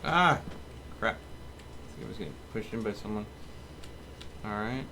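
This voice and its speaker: Low-voiced man streaming a game, noisy background. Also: a little slow. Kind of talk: casual live gameplay commentary. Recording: clear background noise.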